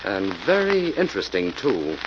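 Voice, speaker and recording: A man playing a calm, authoritative detective in 1940s radio mystery style, with a brisk, measured, commanding delivery. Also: fairly low pitch. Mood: intent, deducing. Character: calm, authoritative male detective solving a murder. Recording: muffled, noisy vintage radio recording.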